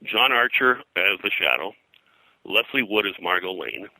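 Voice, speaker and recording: An older man reminiscing as an interview guest, rambling and halting, often repeating words as he goes. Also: lowish voice, sing-song, bright, forceful tone, dry recording, rough recording. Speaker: interview guest, pop culture historian and pulp publisher.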